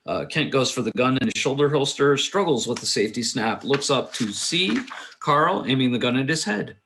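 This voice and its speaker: A man with a mid-pitched voice narrates a screenplay at a table read. He reads the action lines in a matter-of-fact, somewhat halting manner. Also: expressive, fairly bright tone, slight background noise. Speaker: narrator reading stage directions. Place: remote video call.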